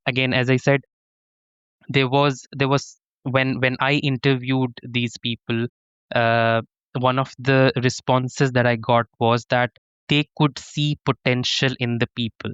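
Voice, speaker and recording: A man's voice: medium pitch, level tone, unhurried, fairly bright tone, smooth, no room sound, lo-fi sound. A man from Pakistan, a professor and interview guest, giving earnest conversational answers with frequent restarts. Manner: earnest, many restarts and "to be honest".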